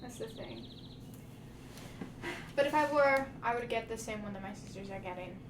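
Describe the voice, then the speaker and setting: Young woman, easygoing chatty vlogger, steady background noise behind her. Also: a little high, normal speed. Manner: easygoing, chatty, full of fillers. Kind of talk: casual chat. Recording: echoey room, mic at a distance, background noise.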